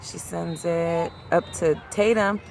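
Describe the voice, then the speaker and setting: Hoarse woman, sideline play-by-play, gravelly voice, outdoor crowd noise, traffic behind. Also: fairly low pitch. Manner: rapid play-by-play, rising excitedly at key plays. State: losing her voice. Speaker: amateur sideline commentator. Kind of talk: play-by-play commentary. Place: outdoors at a soccer field.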